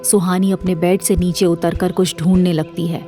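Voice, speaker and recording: A woman's voice: on the low side, little pitch movement, normal speed, dark tone, faint music behind. A woman narrating a scripted dramatic story as the narrator of an audio series.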